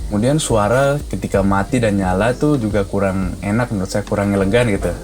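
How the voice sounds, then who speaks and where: A man's voice: lowish voice, brisk, darkish tone, faint music behind. A man with an Indonesian accent giving a casual, chatty gadget review to viewers, explaining things informally. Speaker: product reviewer.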